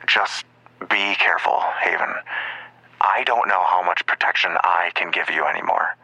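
A man playing a worried, protective insider in an audio drama, talking in an earnest, cautious, hushed way. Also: deep voice, moderate pace, no room sound, exaggerated voice, low-quality recording. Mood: cautious concern.

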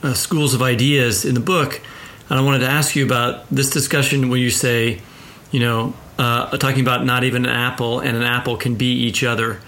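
A man co-hosting a literary interview podcast, feeling his way haltingly toward terms as he frames a question. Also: medium pitch, level tone, fairly bright tone. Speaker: podcast co-host.

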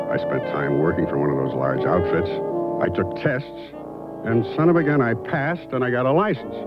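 A wry American private detective spins his own case in a conversational radio-drama style. The voice is deep and moves around freely. It has the dull sound of an old broadcast, with loud music under it. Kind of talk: first-person radio detective narration and dialogue.

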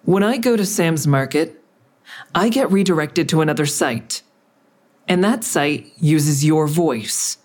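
A woman's voice: sits low, animated, unhurried, darkish tone. Terse, grim woman interrogator. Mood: challenging. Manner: terse, dry, needling. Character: grim, confrontational female investigator questioning an old enemy. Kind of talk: audio drama acting with narration.

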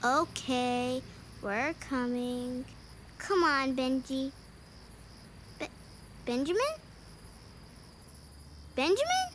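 A woman's voice: sits high, sing-song, drawn-out words, long pauses, noisy background, exaggerated voice. A woman playing a playful, cheeky little girl in an audio drama, with a teasing sing-song way of talking. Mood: uneasy.

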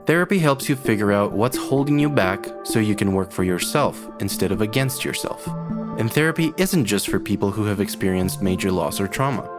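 A man's voice: fairly low pitch, animated, fairly quick. A man reading a scripted podcast sponsor message, with music behind him. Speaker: podcast ad reader.

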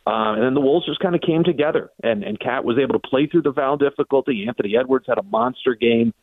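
A man's voice: medium pitch, big pitch swings, fast, dry recording. An American man talking as a guest on sports radio, recounting things conversationally and in long-winded detail. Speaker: sports broadcaster interviewed as guest. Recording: phone-like narrow band, dry.

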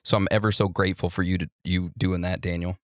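A man's voice: deep voice, level tone, quick pace, no echo, studio quality. A young man hosting a casual podcast, rambling and laughing easily as he answers listener messages. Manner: warm, rambling, laughs often. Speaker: podcast host.

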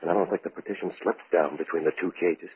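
An actor playing a grave, intense male research scientist in an old-time radio horror drama, speaking deliberately. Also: deep voice, steady tone, slightly rough. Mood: hushed, grave. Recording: muffled, roomy vintage radio recording.

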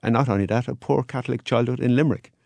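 An Irish man, a guest in an interview, answering in a relaxed, anecdotal way. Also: medium pitch, very fast, creaky, no room sound. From Ireland.

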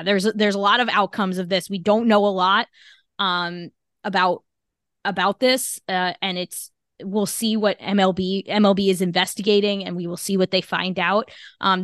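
A woman co-hosting a podcast works through the news in a halting, careful way, filling the pauses with fillers and restarting her sentences often. Her voice sits at an ordinary middle pitch. The recording is dry and close, with no room sound. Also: a bit bright, no echo. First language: English. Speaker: podcast co-host. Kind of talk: casual podcast discussion.

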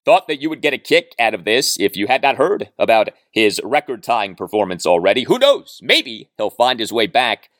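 A man hosting a sports podcast solo, speaking in emphatic, deliberate phrases with heavily stressed words. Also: lowish voice, big pitch swings, fairly bright tone, dry recording, clean studio sound. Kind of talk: sports commentary monologue with read-out listener mail and ad reads.

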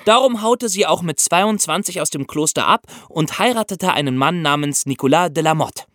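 Male podcast storyteller: lively, swooping intonation, higher-pitched voice, explains things straight to the audience. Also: normal speed, dry recording, clean studio sound. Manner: explanatory, addresses listeners directly. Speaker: podcast host. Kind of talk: scripted storytelling for a history podcast.

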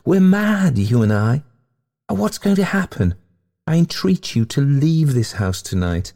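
A proud, rapturous female ghost, as a male narrator puts her on. The pitch leaps high into wailing, pleading melodrama. It suits a theatrical storybook tale of tormented love. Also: very animated, measured pace, creaky, dark tone, husky, heavily acted.

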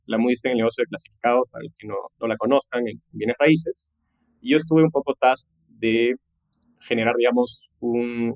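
A man talks at a rapid clip in a mid-range voice. He recounts his working life as a podcast guest, conversationally, mixing in English business terms and checking in with short tag questions. The sound is dry, with very little room. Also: medium pitch, darkish tone, no echo, dull audio. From Peru. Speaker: podcast guest, tech entrepreneur. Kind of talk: conversational career storytelling in an interview.

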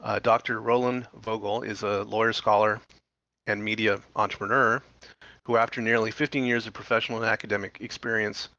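Low gravelly host on a dull line. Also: male voice, sharp tone, no room sound. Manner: friendly, loose, with fillers. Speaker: podcast host. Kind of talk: interview host, reads sponsor intro. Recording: dull dry teleconference audio.

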